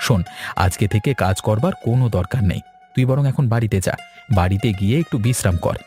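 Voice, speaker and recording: A man's voice: fairly low pitch, fast, mellow, soft background music, lightly acted. A man playing a busy, kindly village farmer in a cartoon, explaining things in an avuncular way. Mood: advising. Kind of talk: cartoon dialogue acting.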